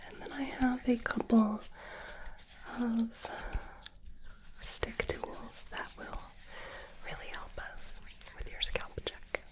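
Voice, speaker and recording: A woman whispering gently through a caring ASMR personal-attention roleplay, her voice a little hoarse and sniffly from hay fever. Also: a little high, fairly even intonation, slow, pauses often, rough recording. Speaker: ASMR creator.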